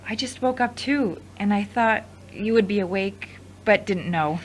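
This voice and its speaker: A woman's voice: mid-range voice, a little slow, slight background noise, natural voice, rough recording. A woman playing a frank, supportive ex-girlfriend in a conversational audio drama. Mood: apologetic, teasing. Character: frank, teasing, supportive ex-girlfriend and studio manager.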